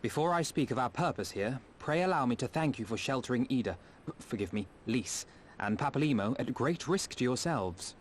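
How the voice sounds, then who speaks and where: A man's voice: mid-range voice, expressive, normal speed, a little hiss or hum, natural voice. A man voicing a polite, earnest young scholar-diplomat in a video game, with formal, courtly, articulate speech. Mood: courteous. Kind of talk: dramatic video game voice acting.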